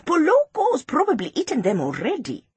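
A woman's voice: sits high, wildly swooping pitch, moderate pace, no room sound, pitched above the performer's own voice. A woman voicing a cocksure young schoolboy in a children's story, accusing and smugly insistent. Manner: insistent, smug.

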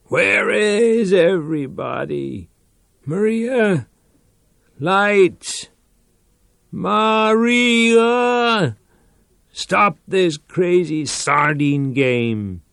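A man voices a desperate man coming apart, hoarse and gravelly, his slow words swinging wildly in pitch as he pleads and cries out. The sound is dry and close, like a radio drama studio. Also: sing-song, fairly bright tone, dry recording, exaggerated voice. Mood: panicked. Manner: pleading, cries out, wavers into sing-song. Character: desperate man unravelling into panic and madness.

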